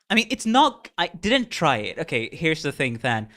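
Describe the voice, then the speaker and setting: Excitable streamer with a light Indian accent. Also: male voice, a little high. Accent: Indian, blended with American and British. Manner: excitable, chatty, greets viewers by name. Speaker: streamer reacting to game music. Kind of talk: casual live-stream chat.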